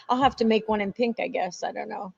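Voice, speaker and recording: A woman's voice: on the high side, vocal fry, dry recording. Woman, late 50s, guest on a video call, agreeable and laughing, dull lo-fi audio. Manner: warm agreeing backchannels, laughs often. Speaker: guest resin artist. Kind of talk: casual live-stream chat.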